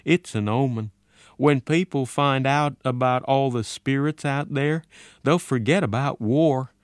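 An earnest, naive army radio operator from a storybook, acted by a male narrator, pleads urgently in a raised, high voice. He is deferential and excitable, recorded close and dry. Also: unhurried, smooth, no echo, natural voice. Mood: pleading.

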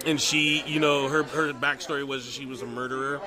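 A man's voice: mid-range voice, steady tone, fairly quick. A man chatting casually on a podcast, describing things in a loose conversational way. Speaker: podcast co-host. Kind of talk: casual chat, describing video games. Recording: busy crowd noise around the voice.

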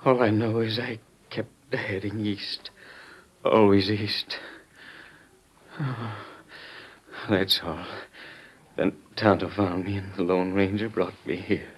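An actor playing a brave, wounded army major in old-time radio, exhausted and faint, halting as he reports. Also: lowish voice, steady tone, breathy edge. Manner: halting, breathless. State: weak, faint, weary. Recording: muffled old radio recording.

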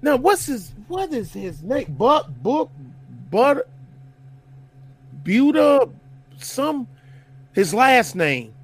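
High-pitched rambling man in his fifties. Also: very animated, slow, long pauses, a little hiss or hum. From Detroit, USA. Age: 50s. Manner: rambling, searching for words, many restarts. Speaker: podcast co-host. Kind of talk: casual group banter.

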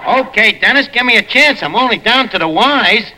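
An actor playing a brash, lowbrow tavern manager in a 1940s radio comedy, with a New York accent and mangled street grammar. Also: male voice, animated, normal speed, pushed and bright, some chatter behind, exaggerated voice. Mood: brash, coaxing. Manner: ungrammatical street talk with malapropisms. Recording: noisy old radio recording.

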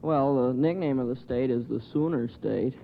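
A man's voice: mid-range voice, normal speed, creaky. A male quiz contestant answering questions in a thoughtful, bookish manner on an old radio program. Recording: noisy phone-like old radio recording.

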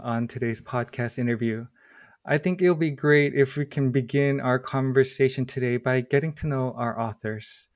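A man's voice: medium pitch, darkish tone, no room sound, clean studio sound. A man hosting a podcast interview, reading formal guest introductions with small stumbles and fillers. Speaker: podcast host.

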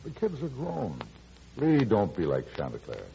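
An actor playing an easygoing, wry middle-aged farmer and suitor with an American accent in a classic radio drama. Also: male voice, lowish voice, wildly swooping pitch, normal speed, vocal fry, mellow, full character voice. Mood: warm, persistent. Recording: dull lo-fi old radio recording.

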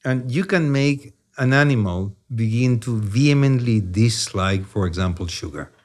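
Chilean-accented man in his sixties, animated. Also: mid-range voice, moderate pace. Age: 60s. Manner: animated, repeats "yeah?" and "you know", stammers on restarts. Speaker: neuroscientist guest. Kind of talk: conversational explanation.

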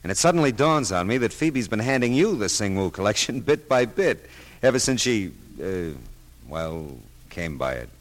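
An American actor playing a wry, world-weary but soft-hearted private detective in a vintage radio drama, clipped and slangy. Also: male voice, fairly low pitch, sing-song, normal speed, no echo. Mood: knowing, gentle. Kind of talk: 1940s-50s American radio detective drama, first-person narration.

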